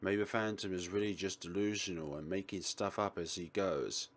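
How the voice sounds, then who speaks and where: A man's voice: low-pitched, steady tone, normal speed. A man playing and reading a visual novel aloud with playful asides, exclamations and chuckly commentary. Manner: playful, exclaims and laughs, acts out the narrator's lines. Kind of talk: gaming commentary, reading game text and joking asides.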